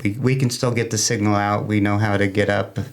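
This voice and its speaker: A man's voice: fairly low pitch, little pitch movement, a little fast. A man speaking as a guest in an interview, explaining things informally in a plain-spoken way. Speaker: guest, amateur radio club member.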